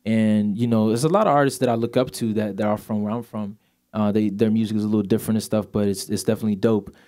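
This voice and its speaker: A man's voice: on the low side, fairly even intonation, fast, dark tone. A man in his twenties from Sweden, Swedish native speaker with a light accent, chatting casually as a singer guest, laid-back and full of "you know". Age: 20s. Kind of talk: casual interview chat.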